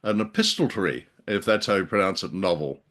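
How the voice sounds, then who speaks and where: Older man with a deep voice. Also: lively intonation, fairly quick, fairly bright tone. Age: older, about 70s. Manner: hesitant, wry, jokey. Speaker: radio show host. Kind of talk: casual chat with joking banter. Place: indoors, on a video call.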